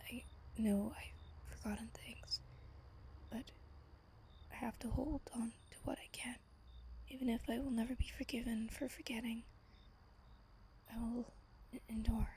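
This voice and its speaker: A woman playing an ancient, wistful vampire lover in a soft, breathy ASMR roleplay, telling her tale slowly and intimately. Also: fairly high pitch, exaggerated voice. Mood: resolute, tender. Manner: gentle, slow, intimate storytelling.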